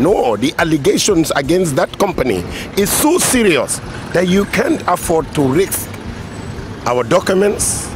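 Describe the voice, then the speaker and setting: A man giving a firm, deliberate opinion to a street interviewer outdoors. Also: a little high, background noise. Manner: argumentative, deliberate. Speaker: passer-by interviewee. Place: roadside in a city, outdoors. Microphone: handheld mic held by interviewer.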